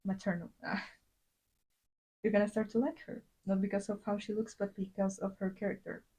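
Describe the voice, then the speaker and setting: A woman's voice: mid-range voice, little pitch movement, normal speed, dark tone, breathy edge. A young woman from Latin America, chatting casually on a drawing livestream and rambling with many fillers and restarts. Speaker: streamer.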